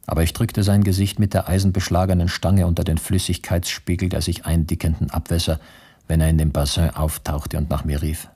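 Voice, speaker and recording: An actor playing an embittered male communist prisoner in a radio play, reciting his letters in a grave, measured, reflective way with a husky voice. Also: low-pitched, little pitch movement, dark tone. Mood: grave, confessional. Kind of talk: literary radio play monologue.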